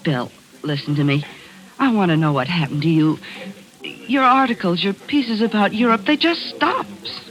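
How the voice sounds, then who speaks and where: An actress playing a quick, sharp-tongued woman photographer in a 1940s radio drama, spirited and skeptical. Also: mid-range voice, animated, close to an everyday voice, rough recording.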